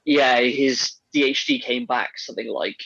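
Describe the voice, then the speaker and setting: A man's voice: mid-range voice, normal speed, creaky, a bit bright, no echo, dull audio. A man chatting casually about health supplements, rambling with many restarts and fillers. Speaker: podcast guest on men's health. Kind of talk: casual conversation.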